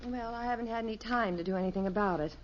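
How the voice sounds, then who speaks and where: Harried, polite middle-aged US mother woman actor, vintage radio sitcom, slightly high voice, faint hiss. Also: very fast, lightly acted. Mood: fretful. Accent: American. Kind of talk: 1950s radio sitcom acting. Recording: muffled, hissy old radio recording.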